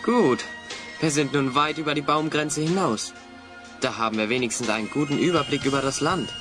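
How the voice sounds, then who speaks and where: A man's voice: medium pitch, moderate pace, music in the background, lightly acted. A man playing a keen, encouraging hero who leads his friends in a German children's audio play, reasoning and urging.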